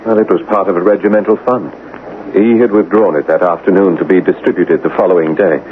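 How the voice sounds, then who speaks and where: An actor playing an earnest, anxious British army major in love, speaking formally and haltingly in a radio drama. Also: male voice, low voice, fairly even intonation, natural voice. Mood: rueful. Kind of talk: 1980 American radio drama acting. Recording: narrow-band noisy old radio broadcast.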